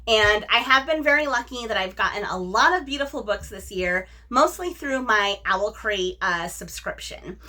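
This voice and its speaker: A woman's voice: medium pitch, expressive, normal speed, hardly any pauses, sharp tone. A woman hosting a book review video, chatting casually and enthusiastically to her viewers. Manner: enthusiastic, gushing.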